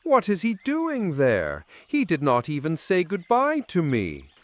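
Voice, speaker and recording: A man's voice: a little high, huge pitch swings, a little slow, voice pushed up. A man playing a puzzled, insistent father in a fairy tale. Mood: concerned, puzzled.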